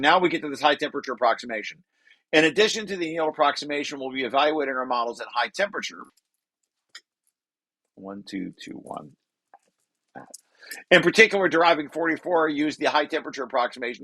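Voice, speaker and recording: A man's voice: on the high side, expressive, fast talker, pushed and bright, slightly rough, dry recording, poor audio. A man reading through a technical paper and explaining it informally, thinking aloud and correcting himself as he goes. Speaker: researcher presenting own paper.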